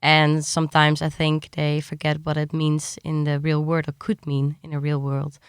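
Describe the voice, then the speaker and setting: Female interviewee, clear crisp voice, explanatory and conversational, very dry sound. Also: low-pitched, completely flat delivery, normal speed, no echo. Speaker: science journalist, interviewee.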